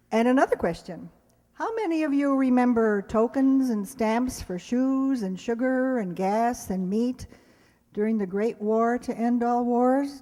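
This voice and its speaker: A woman's voice: fairly high pitch, warm tone. An older woman giving a chatty, scripted introduction to a live audience as presenter. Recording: echoey hall live recording.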